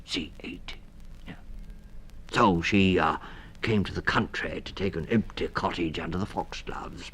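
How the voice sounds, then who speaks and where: Mister Toad, a kindly faltering toad. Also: male voice, deep voice, a little slow, fairly bright tone, a little hiss or hum. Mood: confiding. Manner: hesitant, repeats words, rambling. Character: Mister Toad, kindly hesitant old male toad adviser. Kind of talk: storybook character reading. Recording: 1960s vinyl story record.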